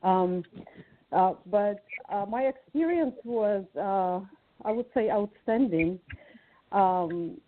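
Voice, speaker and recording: A woman from Ukraine speaking as a guest on a group call, sharing personal reflections in an earnest, hesitant way with pauses between short phrases. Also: medium pitch, animated. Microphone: phone call. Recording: tinny, band-limited phone line.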